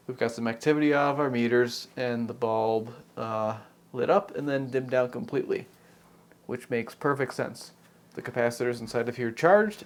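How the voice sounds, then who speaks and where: Casual American man thinking aloud. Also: mid-range voice. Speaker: host of a repair video channel. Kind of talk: unscripted hands-on technical demonstration.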